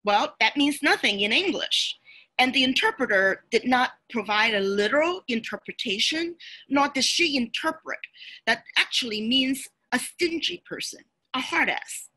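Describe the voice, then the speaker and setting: A woman's voice: sits high, moderate pace, breathy edge, poor audio. A woman lawyer whose first language is Cantonese, giving an instructive webinar talk over a video call from a small room. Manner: explanatory, steady, instructive. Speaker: lawyer and presenter. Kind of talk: webinar lecture. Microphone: video call webcam.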